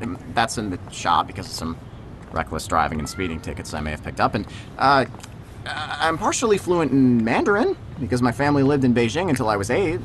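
A man's voice: medium pitch, a bit bright, a little hiss or hum. A man playing an easygoing, protective teenage boy in an audio drama, casual and conversational. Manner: casual, hesitant, reassuring. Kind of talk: naturalistic audio drama acting.

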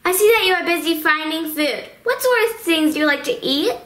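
A woman's voice: very high-pitched, lively intonation, brisk, fairly bright tone. A woman voicing a perky, girlish puppet who hosts a children's talk show in a sweet, eager manner. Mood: perky, curious. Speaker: host. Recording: roomy echo.

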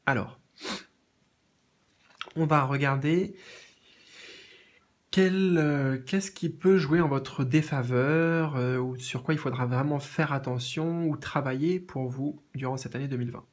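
A man with a cold and a sore throat giving a tarot reading in a gentle, repetitive way with many fillers. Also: medium pitch, unhurried, long pauses. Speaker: tarot reader. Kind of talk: tarot reading, reading out card meanings. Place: indoors at a card table.

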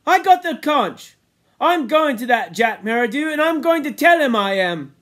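A man's voice: extremely high pitch, big pitch swings, normal speed, a bit bright, voice pushed up, exaggerated voice. A man voicing an indignant, pleading young boy in a storybook reading, speaking insistently in colloquial schoolboy grammar.

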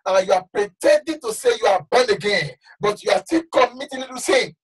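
A man preaching a sermon with fervor, shouting one moment and dropping to hushed warnings the next. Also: high voice, sing-song, a little fast, slightly rough, soft background music. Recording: voice from far off the mic.

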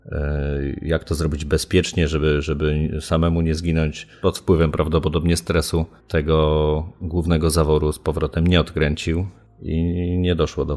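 A man with a very low voice talks through a criminal case as an interviewed commentator. His speech is unscripted and hesitant, often broken by filled pauses as he explains. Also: darkish tone.

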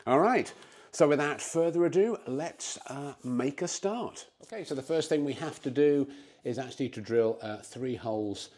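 A man in his fifties hosting a DIY vlog from his garage, explaining things casually with frequent pauses on a lapel mic. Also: medium pitch, animated, darkish tone, breathy edge. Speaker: host of a DIY car-building vlog. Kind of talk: casual instructional talk to camera. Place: home garage. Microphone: clip-on lapel mic.

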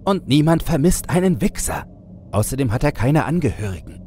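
A hard-edged young woman from a horror audiobook, acted by a man. The voice is lifted in pitch, quick and curt, as someone forcing herself to keep calm. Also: slight background noise, natural voice. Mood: cold, hurried.

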